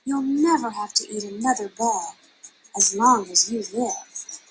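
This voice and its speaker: A woman's voice: medium pitch, measured pace, faint music behind, lightly acted, poor audio. A woman reading a children's storybook, voicing a tender, confident mother bat with an emphatic, motherly manner. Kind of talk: children's storybook read-aloud.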